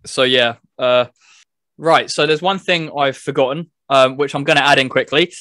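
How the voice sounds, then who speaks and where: A man's voice: mid-range voice, fairly even intonation, normal speed, pushed and bright, no echo. A man co-hosting a gaming podcast, chatting casually and explaining things step by step. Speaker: podcast co-host reviewing a video game. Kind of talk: casual chat.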